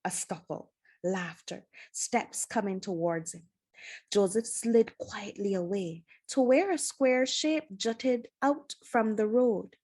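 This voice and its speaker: A woman narrates an adventure novel from the page. Her voice sits at an ordinary middle pitch, and she tells the story in an expressive, dramatic storybook style. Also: takes it slowly, breathy edge. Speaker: storybook reader. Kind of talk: scripted narration, children's adventure novel read aloud.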